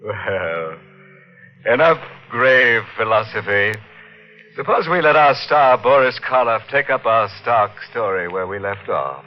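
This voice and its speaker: A man's voice: fairly low pitch, animated, measured pace. A man playing a sardonic horror-show host who makes grim puns about death, on an old radio broadcast. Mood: mocking. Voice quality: ghoulish, punning delivery. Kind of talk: 1950s radio drama hosting. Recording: dull vintage radio broadcast.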